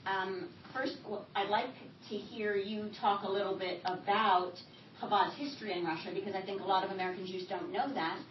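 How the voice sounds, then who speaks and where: A soft-spoken woman interviewing an author before an audience, hesitant, with many fillers. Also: medium pitch, steady tone, fairly quick. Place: event hall with audience. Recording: roomy hall, distant mic, dull lo-fi sound, audible hiss.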